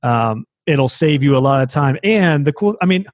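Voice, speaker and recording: A man's voice: on the high side, lively intonation, normal speed, no echo, clean studio sound. A man who teaches web design courses, talking casually in a podcast conversation and explaining at length with fillers and restarts.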